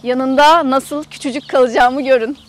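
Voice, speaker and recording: Female travel vlogger, high voice, chatty and explanatory, casual walk-around tour delivered outdoors. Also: steady tone, normal speed. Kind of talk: casual guided tour to camera. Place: outdoors in an open camper park lot.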